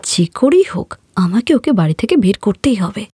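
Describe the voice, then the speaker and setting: A woman's voice: mid-range voice, sing-song, fairly quick, mellow, breathy edge, no room sound, studio quality. A woman voicing an arrogant, scheming young sister-in-law in a cartoon story, with an accusing, melodramatic, taunting manner. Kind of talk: Bengali cartoon story voice acting.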